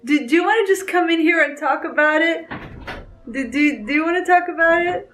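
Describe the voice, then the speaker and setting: A woman's voice: extremely high pitch, fairly quick, fairly bright tone, faint music behind. A young woman in a casual read-along vlog, talking emotionally about a book she is reading, with lots of "like". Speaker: book vlogger.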